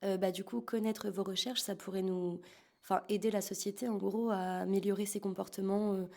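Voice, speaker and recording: A woman interviews a guest for a podcast in a conversational way. Her voice is mellow and relaxed, sits at a middle pitch, and has a noticeable creak. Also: a little fast.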